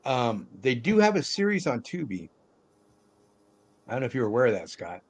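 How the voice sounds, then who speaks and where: A man with a mid-pitched voice talks casually about films as part of a panel. His delivery is hesitant and halting, and his phrases often trail off. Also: sing-song, breathy edge. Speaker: podcast panelist discussing films. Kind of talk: casual chat.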